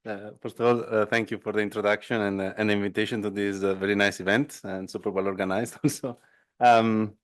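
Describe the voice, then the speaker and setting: A man's voice: lowish voice, dry recording, clean studio sound. Italian-accented man giving a lecture. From northern Italy. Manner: conversational, frequent fillers and tag "no?". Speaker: invited lecturer. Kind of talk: academic lecture with casual asides. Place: lecture room at a workshop.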